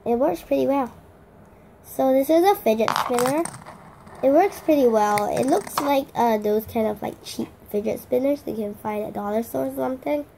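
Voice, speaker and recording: A teenage girl with a hoarse voice, chatting casually through an unboxing vlog with lots of fillers and restarts. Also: high-pitched, moderate pace, background noise. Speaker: vlogger.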